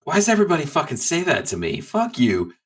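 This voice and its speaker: A man's voice: on the high side, huge pitch swings, fast. A man playing a tabletop role-playing game in casual chat, blunt, sweary and joking. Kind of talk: casual chat, improvised play.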